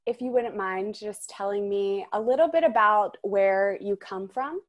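A woman hosting a podcast, reading a measured introduction and asking interview questions. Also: medium pitch.

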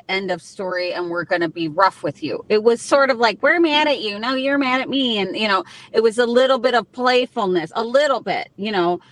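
A woman's voice: on the high side, a bit bright, low-quality recording. A woman speaking as a guest on a radio panel, in a conversational way with many fillers. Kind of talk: casual radio discussion.

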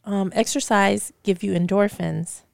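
A woman's voice: mid-range voice, fairly even intonation, unhurried, gravelly, a little mellow, no room sound, clean studio sound. A woman co-hosting a casual chat, chiming in with playful quips. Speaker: co-host.

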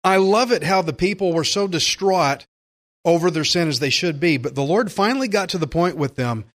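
A man delivers a sermon in a somewhat high voice. He is earnest and emphatic, stretching out key phrases for weight as he preaches and reads scripture. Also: expressive, normal speed, a bit bright.

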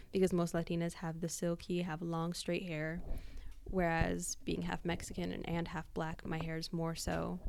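A woman's voice: fairly low pitch, gravelly, darkish tone, a little hiss or hum. A young woman with an American accent, candidly sharing personal experience as a podcast guest.

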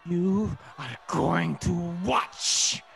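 An actor playing a cruel, menacing soldier in a radio play, sneering, taunting and commanding. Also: male voice, high-pitched, lively intonation, very slow, creaky, a bit bright, heavily acted. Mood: menacing, shouting.

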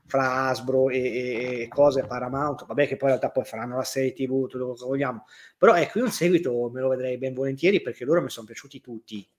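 A man's voice: mid-range voice, quick pace. A man chatting casually as a co-host, telling stories emphatically, repeating himself and swearing freely. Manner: animated storytelling, swearing, emphatic repetition. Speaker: co-host of a livestream talk show. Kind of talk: casual chat.

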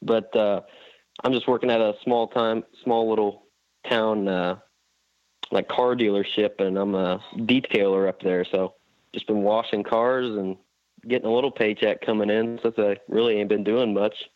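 Young man from Indiana, low-voiced, relaxed and rambling, heard over a tinny phone line, dry sound. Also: normal speed, no echo. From Indiana, USA. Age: young adult. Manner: laid-back, rambling with fillers. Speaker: guest rider. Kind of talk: casual phone interview.

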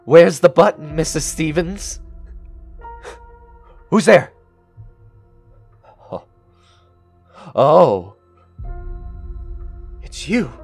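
A man's voice: on the high side, a little mellow, exaggerated voice. An actor playing a greedy, paranoid man coming apart in an audio drama, agitated and theatrical. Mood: terrified raving. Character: greedy, paranoid man unravelling into murderous madness. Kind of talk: audio drama acting with first-person narration.

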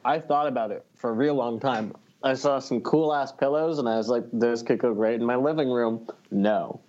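A man's voice: medium pitch, moderate pace, darkish tone. Wry man, slightly dull lo-fi sound. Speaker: podcast co-host. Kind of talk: casual chat among friends.

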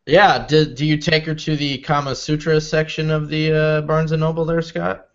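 A man in his thirties answering interview questions in a relaxed, rambling, measured way. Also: a little high, lo-fi sound. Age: 30s. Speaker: interview guest. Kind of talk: casual interview talk.

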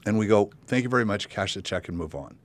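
A man's voice: fairly low pitch, expressive, fast talker. A man hosting a conversational interview show, reflective and probing as he thinks aloud. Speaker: podcast host.

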